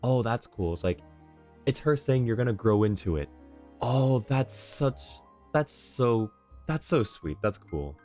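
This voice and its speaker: A man's voice: mid-range voice, animated, measured pace, mellow, soft background music. A man doing casual live game commentary as a streamer, reacting to the story as it plays.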